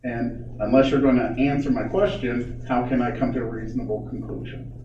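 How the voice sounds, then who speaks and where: A man's voice: mid-range voice, fairly even intonation, brisk, slight background noise. A man on a board defending himself in a public meeting, indignant and defensive. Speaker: board director. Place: meeting room. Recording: echoey room, distant muffled mic.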